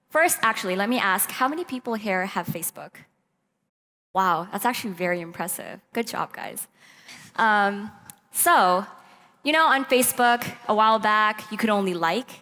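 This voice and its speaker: A woman's voice: medium pitch, a bit bright. Woman presenting in an echoey hall. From Pakistan. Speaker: presenter at a youth tech summit. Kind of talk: prepared conference talk. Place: large hall, on stage. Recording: echoey hall, long reverb.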